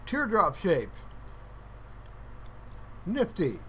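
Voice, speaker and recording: A man's voice: high voice, huge pitch swings, extremely slow, fairly bright tone, a little hiss or hum. A man casually rambling to livestream viewers in a playful, mock-earnest, musing way. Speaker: livestreamer hosting his own stream.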